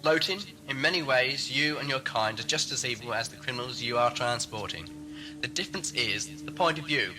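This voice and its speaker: A scatterbrained but sharp time-traveller, played by a man, mid-pitched and wry. He sounds breezy and flippant in an audio drama, with music behind him. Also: brisk, lightly acted, rough recording. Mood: reflective. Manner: wry, breezy, talking to himself. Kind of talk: fan audio drama acting.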